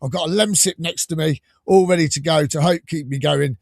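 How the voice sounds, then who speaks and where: A man hosting a live fan podcast, enthusiastic and rambling, a little croaky from a cold. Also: on the high side, fairly quick, a little mellow, no echo, clean studio sound. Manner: enthusiastic, rambling, laughs often. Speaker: podcast host.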